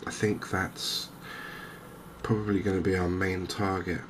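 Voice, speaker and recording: Slow, low-pitched, soft-spoken man. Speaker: gaming video host. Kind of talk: softly spoken ASMR game commentary. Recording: clear steady background noise.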